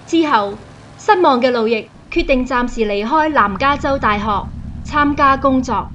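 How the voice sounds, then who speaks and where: A woman narrator with a Cantonese accent reads scripted radio drama narration. Her voice is fairly high, with clear background noise behind her. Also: measured pace, a bit bright, highs cut off.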